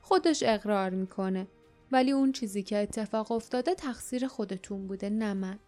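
A woman's voice: medium pitch, mellow, soft background music, close to an everyday voice. A woman voicing a cunning middle-aged male shopkeeper who talks about himself in the third person in a wheedling way, in an audiobook. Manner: cunning, wheedling, calls himself poor Tom.

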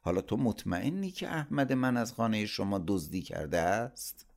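A man voicing an anxious middle-aged mother in a story, speaking gently and pleading to calm things down. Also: fairly low pitch, voice pushed up. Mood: soft, placating. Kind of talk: dramatised audiobook storytelling.

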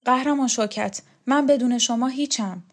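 A woman voicing an earnest, anxious young male student who pleads quickly. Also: a little high, pitched above the performer's own voice. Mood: devoted.

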